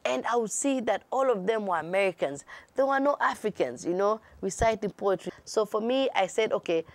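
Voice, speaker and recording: A woman's voice: mid-range voice, animated, a little fast, dry recording. A woman from Kenya with a Kenyan accent and Swahili as her first language, answering interview questions expressively. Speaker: guest artist.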